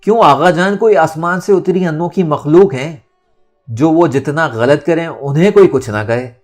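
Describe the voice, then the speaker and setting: A man voicing a defiant, sharp-tongued young woman in a storybook, with taunting emphasis. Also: a little high, normal speed, voice pushed up, close to an everyday voice. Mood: defiant, mocking. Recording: music under the voice.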